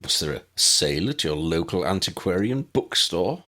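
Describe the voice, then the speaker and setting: A man voicing an erudite, unflappable old wizard in a fantasy audiobook, measured and pedantic with a needling edge. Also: deep voice, very animated, bright, forceful tone, exaggerated voice. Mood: incredulous, wry. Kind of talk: fan-fiction audiobook character voice.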